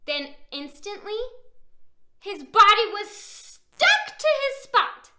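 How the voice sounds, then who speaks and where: A woman's voice: very high-pitched, takes it slowly, background noise. A woman hosting a children's picture-book read-aloud, talking straight to young listeners with questions and exaggerated exclamations. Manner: animated, exaggerated gasps and exclamations, addressing child listeners directly. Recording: echoey room.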